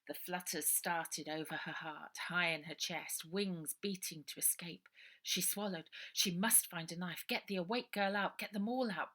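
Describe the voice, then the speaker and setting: A woman reading her novel aloud as dramatic, expressive and suspenseful storybook narration. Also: lowish voice, moderate pace. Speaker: author reading own novel.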